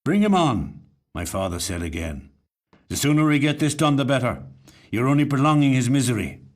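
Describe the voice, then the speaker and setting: A man's voice: medium pitch, very animated, a little fast, lightly acted. A man playing a hard, unsentimental country father in a short story, curt and commanding. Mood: impatient, commanding.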